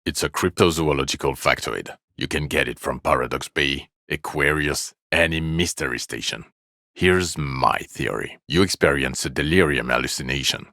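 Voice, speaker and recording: An actor playing a jaded, sarcastic, irritable police officer in a video game, gravelly and deadpan, with weary scorn. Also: male voice, a bass voice, normal speed, no room sound, studio quality, heavily acted. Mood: exasperated, dismissive. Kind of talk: video game character dialogue lines.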